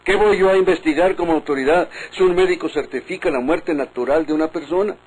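An exasperated Mexican village official in a vintage radio drama. His voice is fairly high and husky, and he is defensive and dismissive as he argues. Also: quick pace, darkish tone, rough recording.

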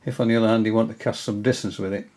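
An older man at home giving a hesitant, casual tutorial, often pausing with fillers as he shows his reels. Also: fairly low pitch, steady tone, darkish tone. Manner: hesitant, many fillers and restarts. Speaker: angling hobbyist giving a tutorial. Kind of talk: casual explanatory demonstration. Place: indoors, small room at home.

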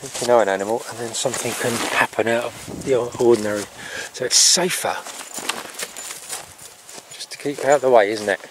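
A middle-aged man with a medium-pitched voice chats casually as he films himself outdoors. His talk is halting and rambling, like an informal farm vlog. The handheld camera picks up clear background noise. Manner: halting, breaking off to talk to animals. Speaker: farm vlogger. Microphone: handheld camera at arm's length.

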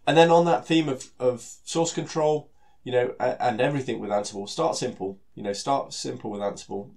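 A man with a British accent and a head cold that makes him sound nasal and stuffy, presenting a technical talk in a relaxed way, full of fillers and repeated words. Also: mid-range voice, fairly quick, creaky. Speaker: solution architect giving a technical talk.

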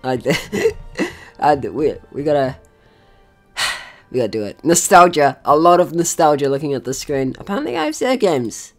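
A young adult gaming host giving casual let's play commentary in an excitable, sweary, rambling manner. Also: medium pitch, normal speed, soft background music.

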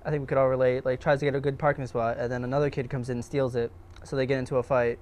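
A man's voice: medium pitch, level tone, rapid-fire, darkish tone. A young man, a student filmmaker, answering interview questions casually in a hesitant, self-deprecating way. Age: young adult.

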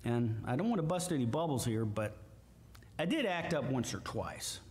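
An older man with a Midwestern American accent, a guest preacher giving a slow, emphatic sermon with scripture readings from a church lectern. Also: medium pitch, very animated, a little mellow, slight background noise. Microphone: lectern mic, far camera. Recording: echoey church hall from far off.

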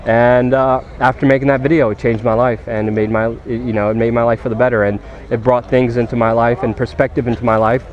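A man's voice: mid-range voice, flat delivery, quick pace, few pauses, lo-fi sound. A man reflecting casually and personally in a vlog, speaking outdoors into a handheld camera. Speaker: vlogger being interviewed. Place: grassy park outdoors.

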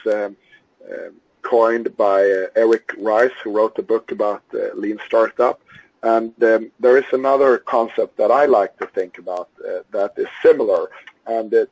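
Swedish man lecturing, husky and dry. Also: medium pitch, no room sound, poor audio. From Sweden. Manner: measured and explanatory, frequent uh and um fillers. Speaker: Scrum trainer and webinar presenter. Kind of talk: webinar lecture over slides.

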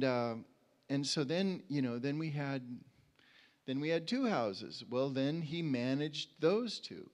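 An older man, in his sixties, preaches informally with a mid-pitched voice. He talks conversationally into a handheld mic, telling personal stories in a halting way and repeating words as he feels his way along. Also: a little mellow. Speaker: preacher at a community church. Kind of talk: informal sermon with personal anecdotes. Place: indoor church stage. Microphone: handheld microphone.

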